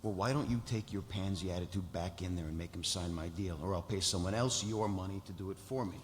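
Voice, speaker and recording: A man's voice: low-pitched, fast, close to an everyday voice. A man playing an angry, foul-mouthed, demanding corporate client in a live script read, blunt and combative. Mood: sarcastic, combative. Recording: echoey hall, noisy room sound.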